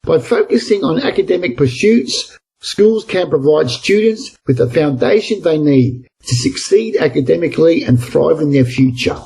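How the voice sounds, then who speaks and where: A man's voice: fairly high pitch, lively intonation, normal speed, vocal fry, few pauses, dark tone, breathy edge, poor audio. A man reading a scripted model essay aloud in the role of a reader for English exam practice.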